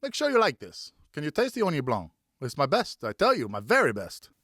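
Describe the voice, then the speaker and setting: A man's voice: fairly high pitch, wildly swooping pitch, a little fast, fairly bright tone, dry recording, clean studio sound, pitched above the performer's own voice, heavily acted. A man voicing a jovial, wily Frenchman who makes cognac, coaxing and exclamatory, in an audiobook. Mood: jovial, boastful. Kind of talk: audiobook character dialogue.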